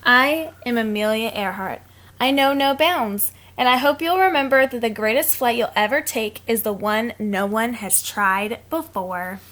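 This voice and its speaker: A woman's voice: a little high, unhurried. A woman reading picture books aloud to children like a teacher, expressively, with simple casual asides. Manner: expressive, simple explaining to young listeners.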